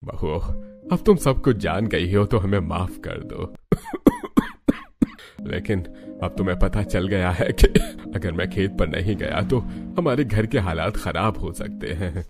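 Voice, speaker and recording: A man voicing an ailing, worried old father-in-law farmer in a cartoon story, gravelly and apologetic. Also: sits low, sing-song, slow, exaggerated voice. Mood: worried, pleading. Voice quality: gravelly, coughing. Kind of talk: Hindi cartoon story voice acting. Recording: music bed under the voice.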